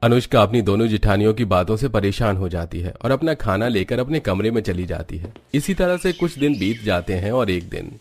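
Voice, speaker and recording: A man's voice: lowish voice, expressive, brisk, few pauses. A man narrating a cartoon family story in a scripted storybook style.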